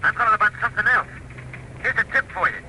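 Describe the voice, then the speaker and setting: An actor playing a blunt working-class gas station owner, talking in hurried slang in an old-time radio drama. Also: male voice, high-pitched, pushed and bright, slight background noise, low-quality recording. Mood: insistent. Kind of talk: 1930s-40s radio drama acting.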